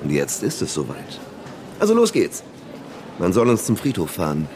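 An actor playing a flamboyant, impulsive surrealist poet in a radio play, talking theatrically and urging others on. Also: male voice, low-pitched, wildly swooping pitch, moderate pace, noisy background. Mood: grandiose, excited.

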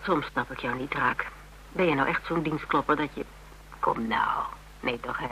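A woman's voice: rumbling low, moderate pace, bright, forceful tone, exaggerated voice. An actress playing a spirited, teasing woman in a radio drama, telling things playfully and dramatically with self-mockery. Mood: earnest, coaxing. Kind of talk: Dutch radio drama dialogue. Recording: hummy, noisy old radio recording.

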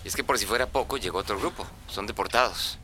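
Guy playing worried regular dude, earnest, low pitch, slight background noise, radio play. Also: quick pace, bright, forceful tone. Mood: concerned. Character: worried, earnest man named José sharing news. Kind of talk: radio drama acting.

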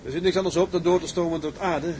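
An actor playing a resolute, thoughtful tugboat captain of the early 1900s in an audio drama, deliberate and commanding. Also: male voice, on the high side, fairly even intonation, a little mellow, slight background noise, lightly acted. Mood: musing, measured. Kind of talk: Dutch audio drama acting.

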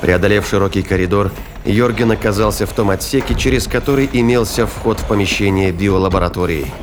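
A man narrating a scripted audio drama in a measured, literary storytelling manner. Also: lowish voice, background noise.

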